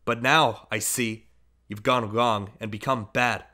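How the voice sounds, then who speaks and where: A man's voice: medium pitch, fairly even intonation, bright, forceful tone. A man reading aloud, voicing a middle-aged prosecutor who firmly scolds his young son. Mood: scolding. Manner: scolding, measured and firm.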